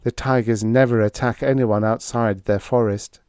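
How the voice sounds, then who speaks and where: A man's voice: medium pitch, steady tone, normal speed, smooth, no room sound, clean studio sound, natural voice. A man voicing a wistful, resigned young woman in a story reading. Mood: wistful, bitter. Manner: soft-spoken, resigned. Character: young woman, bride-to-be, wistful, turning cynical.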